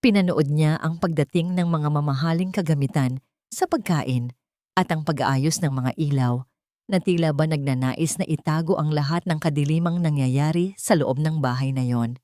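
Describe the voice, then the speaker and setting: Woman reading a dramatic audio story, pretty low voice, dry close recording. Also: mellow, no room sound. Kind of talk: scripted dramatic story narration.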